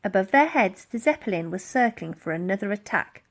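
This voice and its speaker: A woman reading a children's story aloud in an expressive, dramatic storytelling style. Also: mid-range voice, a little fast. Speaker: audiobook reader.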